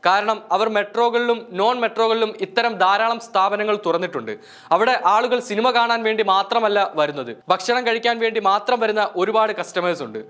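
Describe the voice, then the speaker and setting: A man's voice: high-pitched, fairly bright tone. A man delivering a scripted news report as narrator.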